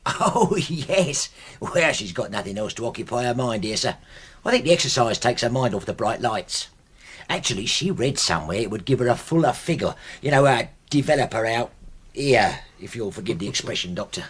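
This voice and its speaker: A man's voice: mid-range voice, lively intonation, moderate pace, fairly bright tone, breathy edge. An actor playing a deferential, anxious and loyal male household servant in a radio drama, with a hesitant, homely way of talking. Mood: sheepish, respectful.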